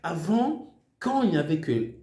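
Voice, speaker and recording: A man's voice: fairly high pitch, sing-song, normal speed, warm tone. Emphatic older Congolese man, echoey room. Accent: Congolese French. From Democratic Republic of the Congo. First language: Swahili. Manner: emphatic, repeats words while searching for them. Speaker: political speaker. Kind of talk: impassioned political monologue.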